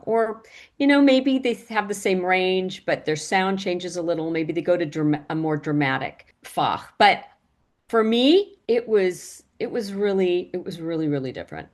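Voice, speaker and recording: Middle-aged american woman, opera singer chatting away, slightly low voice, tells stories and does the voices. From United States. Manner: animated storytelling, acts out quotes, frequent laughs. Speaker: classical mezzo-soprano guest. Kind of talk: casual interview chat.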